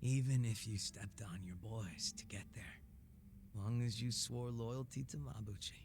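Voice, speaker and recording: An actor playing an easygoing, tipsy gangster boss in a video game, talking in a casual, slangy drawl with a wistful streak. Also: male voice, fairly low pitch, a little slow, background noise. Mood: matter-of-fact. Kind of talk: video game cutscene dialogue.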